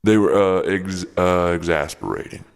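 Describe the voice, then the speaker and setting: A man voicing a gruff, defensive road comic and secret werebear, rambling and halting in an improvised comedy radio play. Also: low voice, steady tone. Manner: halting, rambling, irritable drawl with malapropisms. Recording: long reverberant echo.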